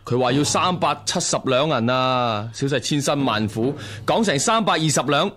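A man plays a dutiful underling in a radio drama. He reports to his master deferentially, in a medium-pitched male voice. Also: lively intonation, moderate pace, a bit bright, close to an everyday voice. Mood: respectful. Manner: respectful, reporting. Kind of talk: Cantonese radio drama acting.

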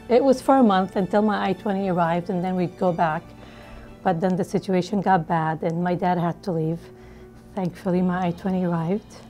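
A middle-aged woman from Liberia recounts her past in a seated studio interview. Her voice is mellow and a little low, and she talks in a relaxed, conversational way full of small fillers. Faint music plays underneath. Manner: conversational, frequent "you know" fillers. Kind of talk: personal storytelling. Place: studio with dark backdrop.

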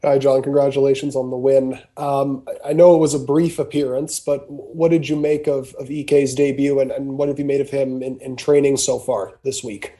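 A man's voice: medium pitch, flat delivery, normal speed, hardly any pauses, dark tone. A man asking a question as a reporter at a press conference.

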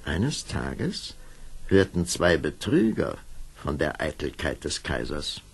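A storyteller in a fairy-tale radio play, expressive and richly varied. His voice sits low, with a gravelly creak. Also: slow, exaggerated voice. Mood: storytelling. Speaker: narrator.

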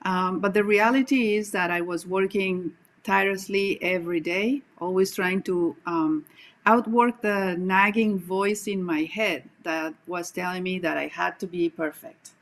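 A woman in her forties from Colombia with a Colombian accent, speaking earnestly and reflectively as a coach guest on a video call from a home room, pausing often with "um". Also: fairly low pitch, steady tone. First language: Spanish. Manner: earnest, reflective, many "um" pauses. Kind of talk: casual video-call interview.

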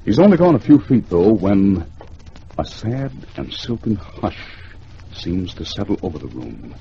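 A man narrating a 1950s radio thriller in a theatrical, dramatic way, with deliberate pauses. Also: on the low side, sing-song, vocal fry. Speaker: radio storyteller. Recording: dull, faintly noisy old radio recording.

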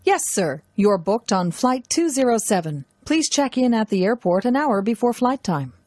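A woman's voice: on the high side, sing-song, moderate pace, darkish tone, no echo. A woman playing confident, polite parts in a scripted lesson dialogue about air travel.

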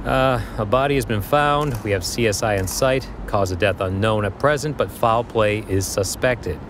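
A man's voice: fairly low pitch, normal speed, natural voice. A man playing a weary, guarded police detective in an audio drama, speaking in an official way. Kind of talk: naturalistic audio drama acting. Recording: noisy outdoor street ambience behind.

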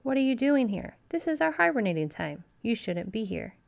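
A woman's voice: on the high side, very animated, moderate pace, gravelly, exaggerated voice. A woman voicing a mild, curious young boy bear cub in a storybook, speaking with innocent wonder. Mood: matter-of-fact.

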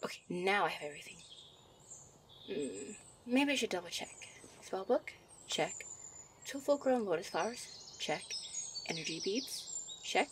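Shy fairy sweetheart played by a woman for ASMR roleplay: soft, teasing, flustered, medium pitch, birds and ambient noise behind. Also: animated, measured pace, pauses often, natural voice. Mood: thoughtful. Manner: soft, coy, easily flustered. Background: birdsong and outdoor ambience.

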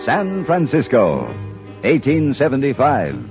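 A man announcing in an emphatic, deliberate 1950s radio style on a muffled old recording. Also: mid-range voice, huge pitch swings, over loud music.

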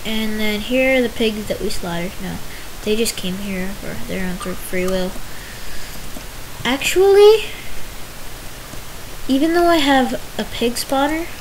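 Young woman, game tour host, unhurried rambling talk, steady hiss behind. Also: fairly high pitch, rough recording. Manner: rambling, giggly, self-interrupting. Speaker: Minecraft video host. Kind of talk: casual gameplay tour commentary. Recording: hissy home recording.

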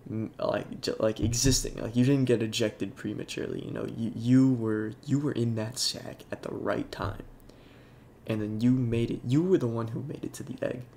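Young man, gravelly congested voice, halting and soft-spoken, faint hiss behind. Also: mid-range voice, fairly even intonation. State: congested, sniffling. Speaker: YouTuber. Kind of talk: casual talk over gameplay.

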